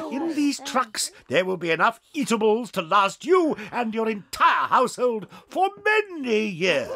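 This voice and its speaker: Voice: medium pitch, fairly bright tone. A performer playing a whimsical, eccentric male chocolate-maker in an audio drama, speaking with theatrical flourishes and sudden swoops.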